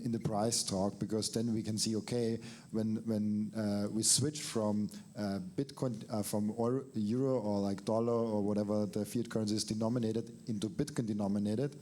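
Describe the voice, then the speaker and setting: A man's voice: fairly low pitch, a little mellow. A man steering a conference panel, tripping over and repeating his words as he reframes an idea. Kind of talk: panel discussion.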